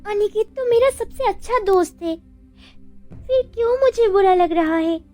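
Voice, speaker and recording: A woman voicing an emotional young woman in love, with a plaintive, dramatic delivery in an animated love story. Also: very high voice, normal speed, faint music behind. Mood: tearful, confused.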